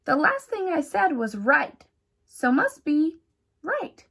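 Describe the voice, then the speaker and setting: A woman's voice: sits high, very animated, slow pace, creaky, a bit bright, voice pushed up, heavily acted. A woman voicing an absent-minded young boy bunny in a children's storybook, polite, eager and questioning. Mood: pondering.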